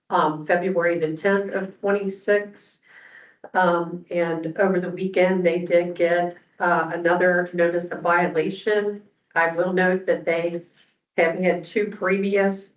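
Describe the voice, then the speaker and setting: The sound is distant and roomy, from a public meeting. A woman commissioner speaks formally, slowly and with little change in pitch. Her delivery is halting and deliberate. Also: muffled recording.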